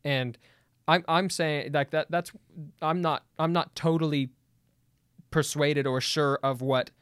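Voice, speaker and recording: A man debating as a guest, pushing back eagerly with quick stumbling restarts. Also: fairly high pitch, fairly even intonation, a bit bright, clean tone, no echo, clean studio sound. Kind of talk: debate conversation.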